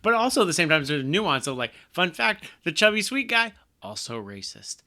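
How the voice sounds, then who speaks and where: A man's voice: a little high, sing-song, fairly quick, sharp tone. A man chatting on a podcast about a comic, adding wry asides and trivia. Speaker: podcast co-host. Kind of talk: casual comic book discussion.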